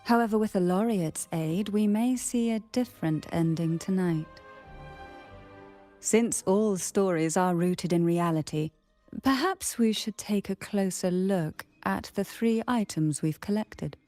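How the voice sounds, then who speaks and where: Actress as a composed mysterious woman, mellow timbre, slow poetic delivery, solemn, video game dialogue. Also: mid-range voice, no echo, close to an everyday voice. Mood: calm, mysterious. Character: composed, enigmatic woman speaking in sea metaphors. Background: game music.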